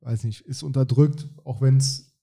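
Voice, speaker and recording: Dark, flat-voiced male podcast host. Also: medium pitch, a little slow, gravelly. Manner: long rambling sentences, frequent tag "ne?". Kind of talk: casual interview chat.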